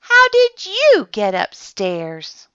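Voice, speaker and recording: A woman's voice: fairly low pitch, sing-song, a little slow, pushed and bright, no echo, muffled recording, heavily acted. A woman voicing a startled but kindly male night watchman in a storybook, with puzzled exclamations. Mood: surprised, friendly.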